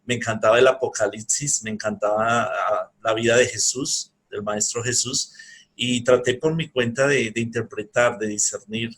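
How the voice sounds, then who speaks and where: A man's voice: mid-range voice, fairly even intonation, normal speed, a bit bright, breathy edge. A man with a Bogotá Colombian accent, speaking earnestly and courteously about himself as an interview guest. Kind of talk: personal talk in an interview.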